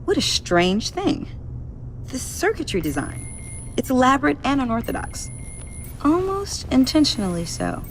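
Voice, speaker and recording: A woman's voice: high voice, very animated, normal speed, a little hiss or hum. An actress playing a cocky, teasing young female tech whiz in a video game, playful and self-assured. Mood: curious, confident. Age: young adult.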